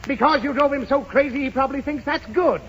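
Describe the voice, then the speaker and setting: A man's voice: very high voice, quick pace, fairly bright tone. An actor playing an exasperated, blustery father who sputters as he tries to keep his temper, in 1930s radio comedy style. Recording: muffled noisy 1930s radio recording.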